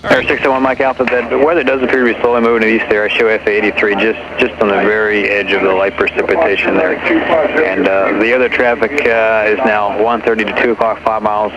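A man's voice: on the low side, a little fast, a loud crowd. A man working as an air traffic controller, giving procedural calls over aviation radio. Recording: dull, noisy aviation radio transmission.

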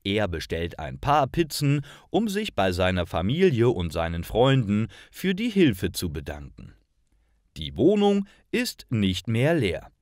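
Deep-voiced man narrating slowly and expressively. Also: no echo, clean studio sound. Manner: clear, careful, expressive enunciation. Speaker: narrator of stories for language learners. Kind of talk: slow scripted story narration for learners.

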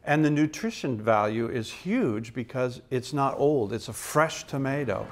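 A man's voice: mid-range voice, animated, unhurried, faint music behind. A man in his sixties, interviewed indoors, earnestly explaining his work with small restarts. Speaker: head of corporate dining. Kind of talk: on-camera interview. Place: office indoors.

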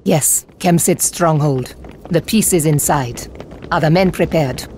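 A woman's voice: deep voice, slow pace, darkish tone, slight background noise. A woman voicing a stern, proud Egyptian warrior priestess in a video game, terse and commanding. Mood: resolute. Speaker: game character, war leader. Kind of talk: video game cutscene acting.

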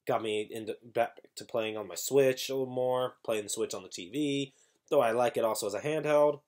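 A man's voice: medium pitch, fairly quick, darkish tone. A young man vlogging at home to his webcam, sounding congested with an irritated throat, rambling with many restarts and self-corrections. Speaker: YouTuber. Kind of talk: casual vlog talk to camera. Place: small room at home, indoors.

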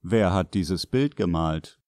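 A man's voice: low-pitched, takes it slowly, darkish tone, dry recording, clean studio sound. A man reading short scripted sentences as the narrator of a language lesson. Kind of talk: scripted sentence translations read out.

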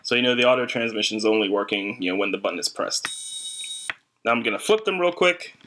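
A young adult man with a fairly low voice talks through a hands-on demonstration. His delivery is informal and slightly hesitant, like a casual tutorial. Faint noise and small clicks sit behind him in an indoor desk recording. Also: lively intonation, quick pace, bright, forceful tone. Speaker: hobbyist electronics demonstrator.

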